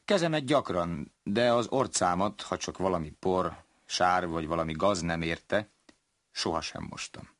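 Man from centuries ago reading his memoir, really low voice, close dry recording. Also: a bit bright, dry recording. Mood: matter-of-fact recollection. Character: old-time man recounting his washing habits. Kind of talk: dramatized reading of a historical memoir.

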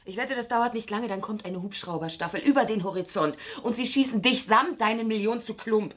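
A woman playing a scornful, embittered ex-partner, sarcastic and blunt with a husky voice, in a radio crime drama. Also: fairly high pitch, brisk, natural voice. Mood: mocking.

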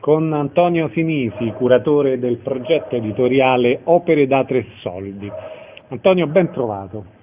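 A man's voice: medium pitch, animated, measured pace, faint music behind. A man hosting a radio interview, putting short questions and introducing guests. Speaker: radio host. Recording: tinny phone-like sound, faint noise.

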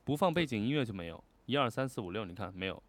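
A man's voice: fairly low pitch, expressive, rapid-fire, dry recording. A man chatting casually and jokingly as a guest on a livestream. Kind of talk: casual chat.

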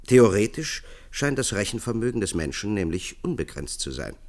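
A man's voice: on the low side, sing-song, moderate pace, a little mellow. An actor playing an earnest male expert in a German science-fiction radio drama, formally lecturing and persuading. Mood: explaining. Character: earnest male science expert pitching a visionary project to leaders.

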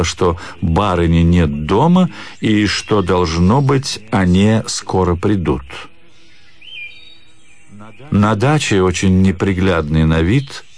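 A man's voice: low-pitched, a little mellow. A man narrating a literary audio drama as a scripted reading. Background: music.

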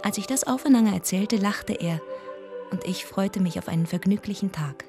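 Tender, wistful deaconess, played by an actress, music behind. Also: female voice, mid-range voice, normal speed, lightly acted. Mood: reflective narration. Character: deaconess Sabine, tender, wistful partner of a police inspector. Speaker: actress, also narrating the story. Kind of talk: German radio drama dialogue and narration.

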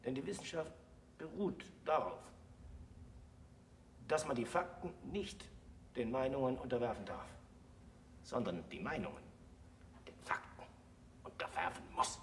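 An actor in a German radio play voicing a disgraced, self-accusing scholar who lectures in a solemn, deliberate, oratorical way. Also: male voice, medium pitch, animated. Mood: grave, subdued. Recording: noisy old radio play recording.

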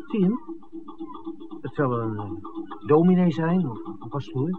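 An actor playing an inquisitive film-maker visiting a village in a 1960s radio play, conversational and full of questions. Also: male voice, fairly high pitch, lively intonation, moderate pace, lightly acted. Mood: curious, puzzled. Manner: questioning, conversational, hesitant asides. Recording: tinny, noisy 1960s radio recording.

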